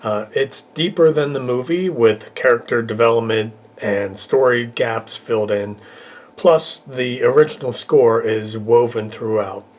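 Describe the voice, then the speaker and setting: A man's voice: mid-range voice, unhurried. A middle-aged man hosting a casual top-ten review video, talking informally. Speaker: vlog host, reviewer.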